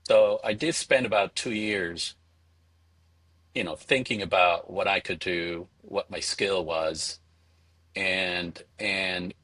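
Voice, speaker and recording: Low-voiced middle-aged man, close and dry. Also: fairly bright tone, no echo. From Venezuela. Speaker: interview guest, spirits entrepreneur. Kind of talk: conversational interview answers.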